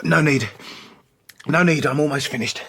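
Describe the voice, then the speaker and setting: A man's voice: fairly high pitch, normal speed, fairly bright tone, slight background noise. An actor playing an obsessive, unstable male asylum patient in a radio horror drama, with an erratic, childish intensity. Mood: creepy, wheedling.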